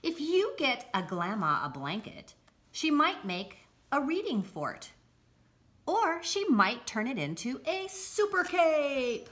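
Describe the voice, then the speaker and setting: A middle-aged woman reading a children's picture book aloud, deliberately and with expressive emphasis, recorded indoors a short distance from the camera. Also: high voice. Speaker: school read-aloud presenter. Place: indoors, home-like room with armchairs.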